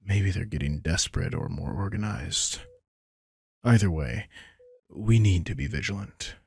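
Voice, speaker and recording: A man playing a calm, protective vampire king in an intimate audio roleplay, speaking in a measured, reassuring way. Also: low voice, vocal fry, husky, no echo, studio quality, full character voice. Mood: serious, protective.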